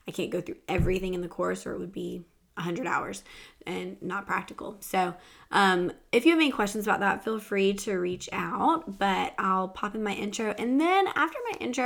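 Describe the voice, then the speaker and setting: A woman hosting a podcast in casual chat, hoarse and raspy from laryngitis, with lots of ums. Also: medium pitch. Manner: chatty, with frequent ums. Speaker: podcast host.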